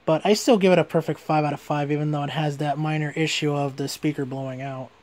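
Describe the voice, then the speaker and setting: A man with a fairly high voice presents a hands-on gadget review. He talks informally, like an everyday hobbyist video, and tends to restate his points as he goes. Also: steady tone, fast, a little mellow. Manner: informal, repeats and rephrases himself. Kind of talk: casual hands-on product review.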